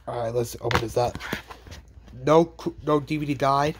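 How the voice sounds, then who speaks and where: A man's voice: mid-range voice, normal speed, a little hiss or hum. A man with a cold, talking casually in a bedroom vlog while showing his DVDs, often repeating words and restarting his sentences. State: has a cold. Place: bedroom, indoors. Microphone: handheld phone pointed at bed.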